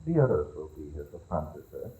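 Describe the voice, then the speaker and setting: A man's voice: extremely low pitch, very animated, brisk, mellow, slightly rough, full character voice, poor audio. A man playing a haughty evil sorcerer in a fantasy roleplay audio drama, theatrical, condescending and musing. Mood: commanding, impatient. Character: haughty evil male sorcerer leading a raid.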